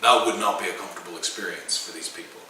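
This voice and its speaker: Deep-voiced Northern Irish man, measured. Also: bright, forceful tone, a little hiss or hum. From Belfast, Northern Ireland. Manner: measured, hesitant. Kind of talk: thoughtful documentary interview. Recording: very echoey room, distant mic.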